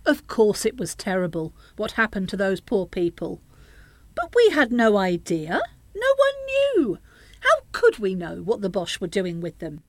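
A woman's voice: medium pitch, big pitch swings, a little slow, no echo. An actress in a radio play playing a middle-aged grandmother who pleads and reproaches, coaxing and justifying herself. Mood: defensive, pleading. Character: pleading, reproachful grandmother, anxious to smooth things over.

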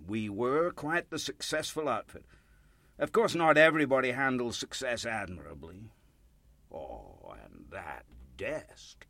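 A folksy, gushing old American, swooping pitch. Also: male voice, big pitch swings, unhurried, creaky, a bit bright, no room sound, heavily acted. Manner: effusive, rambling, folksy exclamations. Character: folksy, gushing, chatty old American carpet salesman churchgoer. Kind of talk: radio play acting.

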